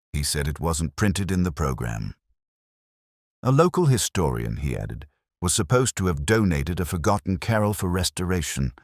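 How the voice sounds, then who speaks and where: A man narrating a scripted first-person detective story in a measured, literary manner. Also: very deep voice, no echo, studio quality.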